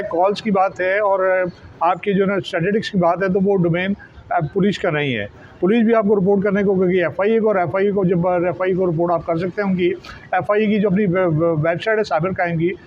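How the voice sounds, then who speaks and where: A man with a Pakistani accent explains in an interview as a journalist, wordy and halting, often repeating and restarting his words. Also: sits high. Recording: dull lo-fi sound, faint background noise.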